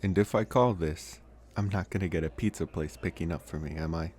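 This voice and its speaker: Man acting as low-voiced young vampire boyfriend. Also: a little fast, lightly acted. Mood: grateful, tentative. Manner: hesitant, gentle, wryly playful. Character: young male college vampire boyfriend, sweet, awkward, teasing. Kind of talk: ASMR boyfriend roleplay, soft and conversational.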